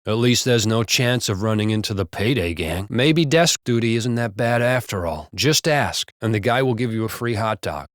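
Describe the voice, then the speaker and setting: An actor playing a gossipy, foul-mouthed American cop in casual, blunt video game banter. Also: male voice, on the low side, animated, normal speed, hardly any pauses, no room sound, clean studio sound, natural voice. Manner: casual, blunt, profane wisecracking.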